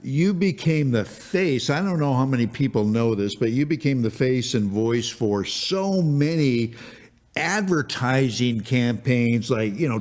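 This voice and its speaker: A man hosting a podcast interview, asking chatty, rambling questions. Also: mid-range voice. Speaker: podcast host.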